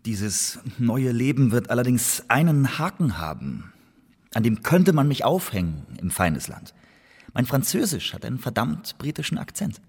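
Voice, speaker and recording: An actor playing a sceptical English poet pressed into spying in a radio play, musing with dry irony. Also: male voice, medium pitch, sing-song, moderate pace, a little mellow, husky. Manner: dry, ironic, hesitant musing. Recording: studio radio play with long reverb.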